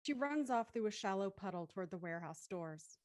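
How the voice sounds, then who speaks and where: A woman's voice: medium pitch, lively intonation, brisk, a little mellow, dry recording, studio quality. A woman reading scripted narration for an audio drama thriller.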